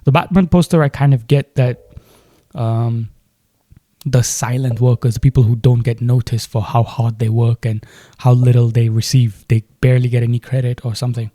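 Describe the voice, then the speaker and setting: A man's voice: mid-range voice, fairly even intonation, moderate pace, mellow, dry recording, clean studio sound. A man from Delhi with an Indian English accent and Hindi as his first language, thinking aloud and questioning as he reacts to a film trailer.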